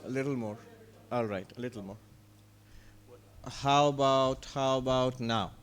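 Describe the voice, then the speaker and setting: A man, a monk teaching Vedanta, speaking in a calm, unhurried, gentle manner at a spiritual retreat in an ashram hall. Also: mid-range voice. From India. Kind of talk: spiritual lecture.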